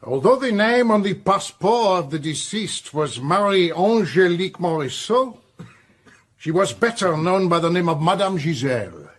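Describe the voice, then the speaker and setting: Casting: French policeman, high voice, lively swoops, formal and exact, radio drama. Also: unhurried. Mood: official, emphatic. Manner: formal, expressive.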